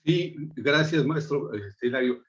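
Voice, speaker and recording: A man moderating an online panel, halting and restarting often as he speaks. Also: medium pitch, animated, a bit bright, dull audio. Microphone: video call.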